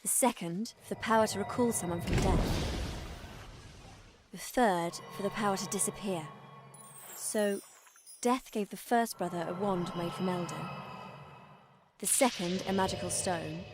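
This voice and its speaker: Woman acting a teenage witch, British accent, earnest, bossy, bookish, video game cutscene, clear musical backing. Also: medium pitch, normal speed, pauses often, natural voice. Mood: solemn storytelling. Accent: British English. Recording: loud background music under voice.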